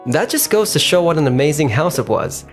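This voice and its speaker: A man's voice: a little high, sing-song, brisk, soft background music. A man playing a confident, supportive young colleague in a manga dub, assured and encouraging. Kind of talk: expressive manga dub voice acting.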